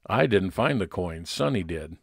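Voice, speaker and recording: A man reads scripted first-person detective narration in old-time radio style. His voice sits very low with plenty of gravel. The recording is dry and close. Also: lively intonation, normal speed, dry recording. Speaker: narrator playing a private investigator.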